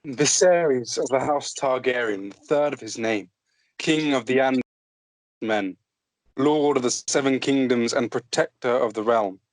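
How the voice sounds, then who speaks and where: A eunuch herald of a fantasy court, voiced by a man at a table read. He proclaims titles formally at a middling pitch, in a dry, close recording. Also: a little slow, no echo, close to an everyday voice, low-quality recording. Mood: formal, ceremonial. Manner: ceremonial, declamatory. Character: formal eunuch herald announcing noble guests. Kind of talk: amateur script table read.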